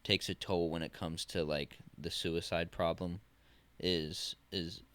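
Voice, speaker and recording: Low, unhurried young man, halting. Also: steady tone, fairly bright tone, clean tone. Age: 20s. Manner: halting, searching for words with many restarts. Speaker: podcast co-host. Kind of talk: casual podcast conversation.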